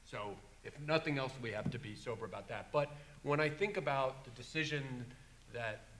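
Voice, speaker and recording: Bright-voiced man on a legal panel. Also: mid-range voice, a little hiss or hum. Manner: measured, dry wit. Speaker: conference panelist. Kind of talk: panel discussion.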